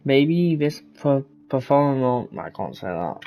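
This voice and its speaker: A woman giving casual, halting game commentary, thinking aloud as she plays. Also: a bass voice, very animated, mellow. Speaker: video game streamer.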